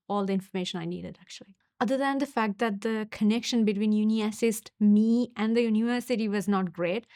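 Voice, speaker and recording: A woman's voice: mid-range voice, darkish tone, dry recording. Young Sri woman, dry-toned. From Sri Lanka. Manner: thoughtful, unhurried, pausing with fillers. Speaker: university student. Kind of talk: casual testimonial.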